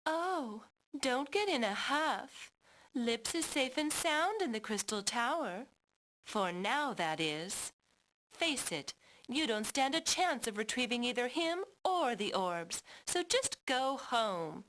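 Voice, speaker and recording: A disdainful video game villainess. She speaks slowly and deliberately in a fairly high voice, theatrical, condescending and full of cool menace. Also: sing-song, fairly bright tone, full character voice, poor audio. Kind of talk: theatrical 1990s game voice acting.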